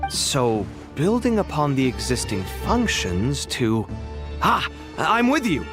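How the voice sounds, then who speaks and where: An earnest researcher with a mid-pitched, very lively voice in a video game. He sounds keen and sincere, with music clearly behind him. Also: takes it slowly. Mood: eager, pleading. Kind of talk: scripted video game dialogue.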